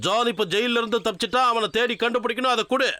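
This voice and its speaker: A man's voice: very high-pitched, steady tone, fast talker, no echo. A man voicing a stern, pompous police inspector in a cartoon dub, emphatic and scolding. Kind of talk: Tamil cartoon dubbing. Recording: loud music behind the voice.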